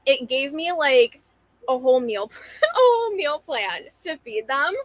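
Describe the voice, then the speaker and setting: A woman's voice: sits high, very animated, unhurried, no room sound. A woman in her twenties from New Jersey, chatting and laughing easily on a speed date. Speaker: dating show contestant. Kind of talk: casual speed-date chat.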